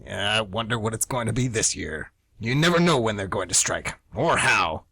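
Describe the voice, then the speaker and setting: Radio play: male actor as indignant veteran schoolteacher close to retirement, animated, bright husky voice, emphatic rants. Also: fairly low pitch, normal speed, no echo, voice pushed down, exaggerated voice. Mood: bitter, grumbling. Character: grumpy old-school male high-school teacher nearing retirement. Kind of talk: amateur radio play acting.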